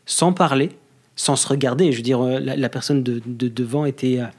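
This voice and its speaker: Podcast guest: man, mid-pitched, loose and hesitant, thinking aloud. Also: brisk, a little mellow. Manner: hesitant, many fillers and restarts. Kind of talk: casual conversation.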